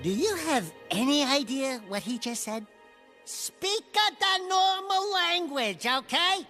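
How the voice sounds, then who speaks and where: A man's voice: very high-pitched, big pitch swings, takes it slowly, pushed and bright, soft background music, exaggerated voice. A man voicing a cocky, wisecracking small animal sidekick in a brash, cartoonish video game style. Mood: indignant. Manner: brash, mouthy, slangy.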